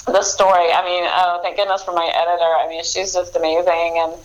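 Chatty woman with a low voice. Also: flat delivery, fairly quick, highs cut off. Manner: chatty, many fillers and restarts. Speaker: author guest. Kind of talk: casual interview chat.

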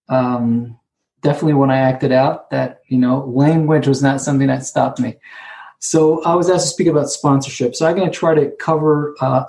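A man with a Hebrew accent, Hebrew being his first language, sharing about recovery and sponsorship at an online conference, speaking haltingly and repeating words as he searches for them. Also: mid-range voice, gravelly, dark tone, low-quality recording. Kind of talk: personal share at an online conference. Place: sitting alone, remote.

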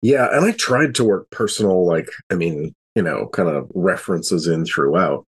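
A man talking casually as a podcast co-host and game master, in a halting, self-interrupting way. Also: low-pitched, animated. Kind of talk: casual panel chat.

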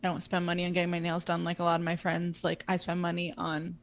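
Twenties woman, podcast co-host, slightly low voice, loose chatty delivery with plenty of fillers. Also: completely flat delivery, quick pace. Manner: chatty, filler-heavy, frequent "like". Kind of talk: casual chat.

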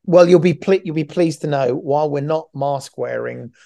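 A man's voice: fairly high pitch, normal speed, vocal fry, dark tone. A middle-aged man co-hosting a podcast, chatting casually in a rambling, joking way and often restarting his phrases. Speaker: podcast co-host.

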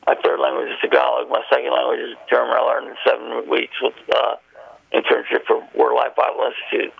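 A man's voice: mid-range voice, completely flat delivery, a little fast. A man, a guest telling a long, rambling personal story. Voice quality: gravelly, husky. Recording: muffled phone line.

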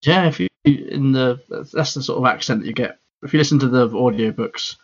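A man co-hosting a podcast, chatting casually and a bit rambly with a husky voice. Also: medium pitch, brisk. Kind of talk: casual hobby chat. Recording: dull lo-fi audio.

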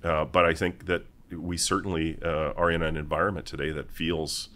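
A man in middle age with a very low voice speaks as an interview guest. He talks deliberately, stopping and restarting his sentences as he works through his points. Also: little pitch movement, a bit bright. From Canada, raised in Vancouver. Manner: halting and deliberate, many restarts. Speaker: mining company executive, guest. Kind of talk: interview answers.

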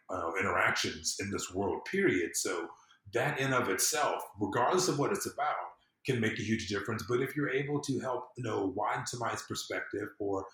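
Male interview guest, low pitch, echoey room sound, earnest conversational delivery that circles back for emphasis. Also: fairly bright tone. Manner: earnest, emphatic, thinks aloud with repetitions. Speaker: podcast guest, civic empowerment consultant.

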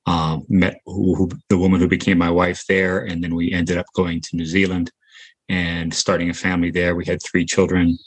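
A man's voice: sits low, level tone, normal speed, husky. An American man from South Dakota, a scientist guest on talk radio, speaking hesitantly and with self-deprecation. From South Dakota, United States. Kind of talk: interview, personal account.

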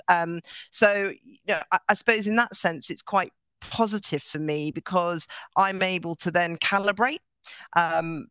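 An energetic middle-aged woman from Norway speaks as an interview guest with a bright, sharp tone. Her speech is crisp and clear, recorded dry and close. She restarts her sentences often but stays upbeat. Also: on the low side, no echo. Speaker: guest, chief executive. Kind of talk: casual interview talk.

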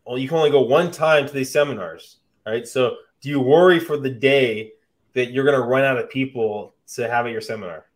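A man's voice: medium pitch, a little fast. A man interviewing a guest, asking loose, rambling questions that he keeps rephrasing and tagging with "right?".

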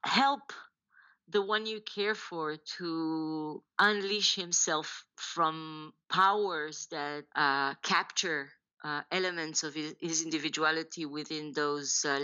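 Woman, forties, from Israel, low voice, bright tone, halting, dull lo-fi audio. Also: steady tone, clear, resonant tone. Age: 40s. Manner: thoughtful, halting, many restarts and fillers, laughs often. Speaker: novelist guest. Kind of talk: podcast interview, personal storytelling.

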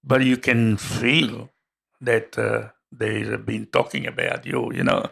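An older man with an Italian accent, recounting his migration in an oral history interview, halting with many fillers. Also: medium pitch, little pitch movement, gravelly, a bit bright, husky.

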